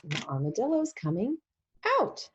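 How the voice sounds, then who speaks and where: A woman leading an interactive storybook read-along for young children, animated and emphatic, using simple repeated phrases and questions. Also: mid-range voice, normal speed, lo-fi sound, noisy background. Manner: animated, emphatic, often drops to a whisper.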